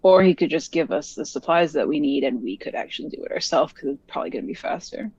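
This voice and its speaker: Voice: mid-range voice, animated, fast, a little mellow, slightly rough, no echo. A tabletop game player who describes their character's actions in a chatty, rambling way with many fillers. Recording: dull, dry lo-fi mic.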